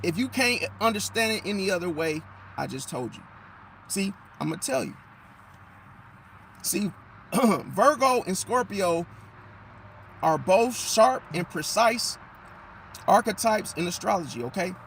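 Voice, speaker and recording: A man, slightly hoarse and losing his voice, lecturing viewers in a casual, emphatic way, repeating himself and firing off rhetorical questions. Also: sits high, animated, measured pace, long pauses, fairly bright tone, slight background noise. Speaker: astrology commentator. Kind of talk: casual talking monologue to viewers.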